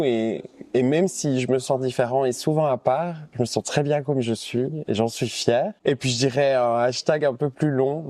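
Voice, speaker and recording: A man's voice: medium pitch, dark tone, no room sound. A man from the Liège area of Belgium, a guest on a podcast, talking with enthusiasm and often restarting with "euh". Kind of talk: candid interview conversation.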